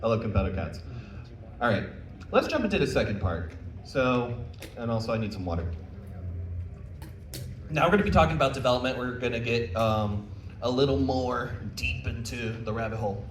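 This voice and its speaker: A man's voice: medium pitch, expressive, moderate pace, long pauses. Guy doing a casual jokey panel talk, echoey room, mic kinda far off. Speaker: convention panel presenter, visual novel developer. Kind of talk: informal live presentation with audience questions. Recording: roomy, distant mic, faint background noise.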